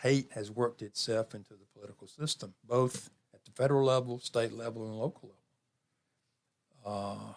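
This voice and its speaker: A man in his seventies talking reflectively as an interview guest, measured, with pauses and phrases repeated for emphasis. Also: mid-range voice, steady tone, darkish tone. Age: 70s. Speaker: interview guest, community program leader.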